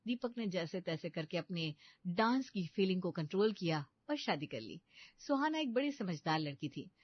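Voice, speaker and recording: A woman's voice: on the low side, sing-song, normal speed, no room sound. A woman narrator reading a scripted comic family story. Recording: dull, lo-fi studio voice-over.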